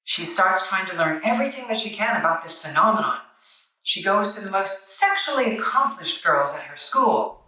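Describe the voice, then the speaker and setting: A woman's voice: medium pitch, expressive, normal speed, sharp tone. A woman hosting a review video, narrating from a loose script in a wry, dryly humorous way. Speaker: comic book reviewer, video host. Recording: echoey room, distant microphone.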